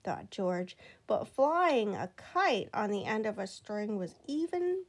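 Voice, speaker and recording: A woman reading a children's picture book aloud at home, slow and expressive, with some lines dropped to a whisper. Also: medium pitch. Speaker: storybook reader. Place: home, indoors.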